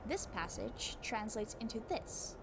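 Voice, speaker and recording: A woman reading a book aloud as scripted narration, in a measured, scholarly way with deliberate emphasis. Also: a little high, sing-song, noisy background. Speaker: book narrator giving a voice demo.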